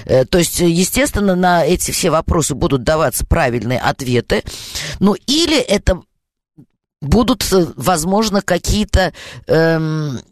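A woman's voice: on the low side, expressive, moderate pace, no room sound, clean studio sound. A woman with a Moscow accent hosting a radio call-in show, hesitant, with many fillers and stuttered starts. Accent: Moscow Russian.

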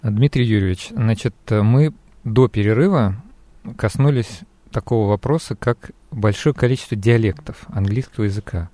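A man's voice: on the low side, normal speed, mellow, no room sound. A man hosting a radio interview from a studio, relaying listener questions and talking conversationally with his guest. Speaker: radio host and programme author.

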